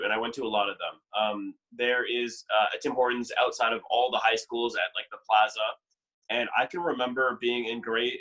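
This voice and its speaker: Bright-voiced chatty young Canadian man. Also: mid-range voice, low-quality recording. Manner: chatty, lots of fillers and "like". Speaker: dating show contestant. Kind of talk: casual podcast chat.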